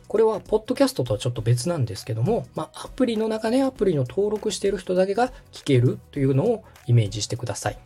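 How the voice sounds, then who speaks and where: A man's voice: sits high, warm tone, husky. A man hosting a step-by-step tutorial, explaining things politely and guiding the listener along. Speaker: podcast host and tutorial instructor, radio director.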